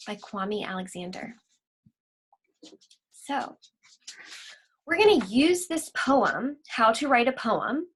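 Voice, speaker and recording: A woman teaching a lesson for children, explaining simply and reading aloud deliberately, like a school library teacher. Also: sits high, fairly bright tone, rough recording.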